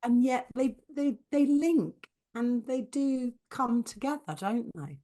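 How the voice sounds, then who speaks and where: Woman podcast host, husky hoarse voice from a cold, chatty and enthusiastic. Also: on the high side, takes it slowly, dark tone. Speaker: podcast co-host, business coach. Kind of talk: conversational podcast chat.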